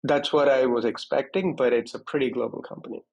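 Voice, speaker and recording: A man's voice: mid-range voice, fairly even intonation, brisk, creaky, darkish tone. A man speaking as an interview guest, explaining technical matters conversationally in structured, step-by-step points. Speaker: interview guest, security executive.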